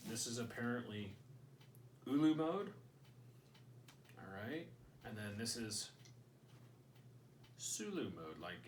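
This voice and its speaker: A man's voice: lowish voice, reverberant, recorded from a distance, slight background noise. A man hosting a casual gear review at home, rambling and joking as he thinks aloud. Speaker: channel host. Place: indoors at home.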